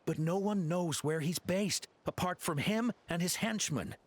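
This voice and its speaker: A man's voice: high voice, unhurried. A man playing a nervous, stammering video game henchman who pleads as he confesses. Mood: anxious explaining. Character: nervous male henchman confessing under pressure. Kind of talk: video game dialogue acting.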